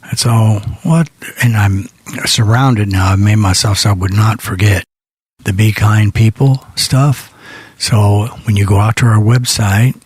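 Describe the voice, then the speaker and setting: A very husky man hosts a music radio show from a studio. His voice sits low and he talks in a folksy, rambling, unhurried way. The sound is close and dry. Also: no room sound. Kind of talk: casual music radio hosting.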